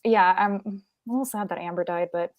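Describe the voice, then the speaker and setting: Female panelist, medium pitch, informal, chatty, conversational. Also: fairly even intonation, moderate pace, muffled recording. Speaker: panel participant. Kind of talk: casual chat.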